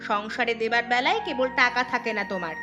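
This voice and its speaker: Nagging sharp-tongued village wife voiced by a woman. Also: fairly high pitch, lively intonation, quick pace, lo-fi sound, lightly acted. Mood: scolding. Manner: scolding, sarcastic. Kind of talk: Bengali cartoon story dialogue. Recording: clear music bed behind voice.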